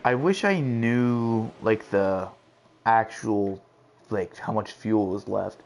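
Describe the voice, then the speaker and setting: A man giving casual commentary on a sim race, excitable and lively as he calls the action. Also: on the low side, takes it slowly, slight background noise.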